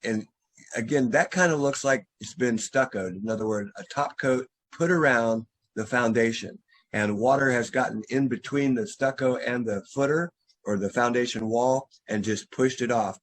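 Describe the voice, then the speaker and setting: Contractor guy on a video call, husky voice, hes losing it from talking all day, explains stuff slowly with lots of um. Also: mid-range voice. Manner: instructive, restarts and fillers, explains step by step. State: losing his voice. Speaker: drainage contractor giving a video consultation. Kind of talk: remote consultation walkthrough.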